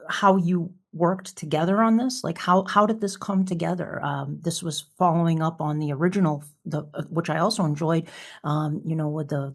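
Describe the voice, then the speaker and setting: A woman with a low voice hosts a relaxed interview. She talks with casual enthusiasm, often circling and restarting her phrases as she works toward a question. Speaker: podcast host.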